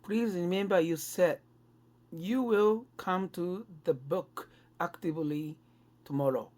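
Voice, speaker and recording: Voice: mid-range voice, slow pace, a little mellow, lightly acted. A performer playing a cool, formal woman who is a senior cult member in a radio drama, politely admonishing.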